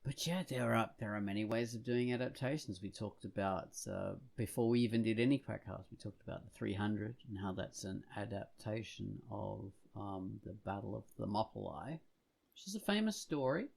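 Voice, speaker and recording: Low, creaky-voiced man chatting. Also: moderate pace, darkish tone. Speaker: podcast co-host. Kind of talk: casual discussion.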